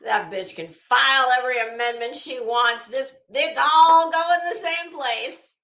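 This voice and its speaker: A woman's voice: high voice, a little slow, sharp tone. A woman host chatting casually and bluntly with viewers on a livestream, informal and talkative. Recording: echoey room.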